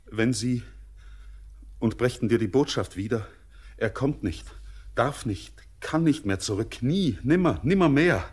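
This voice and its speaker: An actor playing a haunted, yearning young stranger in a classic radio drama, declaiming poetic in a solemn, intense, husky voice. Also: male voice, medium pitch, lively intonation, measured pace. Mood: urgent, pleading.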